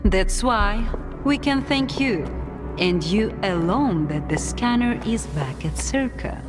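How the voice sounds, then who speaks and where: Female official, manipulative, silkily threatening, deliberate delivery, swooping intonation, video game drama, loud music behind. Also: mid-range voice, lively intonation, big-room sound. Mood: flattering, probing. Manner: measured, deliberate, coolly persuasive. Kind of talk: video game cutscene acting.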